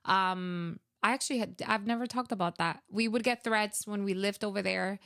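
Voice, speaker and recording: Young woman, smooth clear voice, dry close sound, chatty with lots of fillers. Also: medium pitch, fairly even intonation, moderate pace, a bit bright, no room sound. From Venezuela. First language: Spanish. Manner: chatty, many fillers, acts out her own past thoughts. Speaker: guest. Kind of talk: casual interview storytelling.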